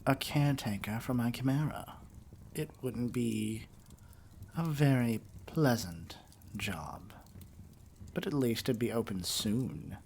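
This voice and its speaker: A man playing a haughty, menacing evil king in an ASMR roleplay, speaking in a pompous, deliberate way full of disdain. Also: medium pitch, vocal fry, darkish tone, slight background noise. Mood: silky menace. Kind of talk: theatrical ASMR roleplay monologue.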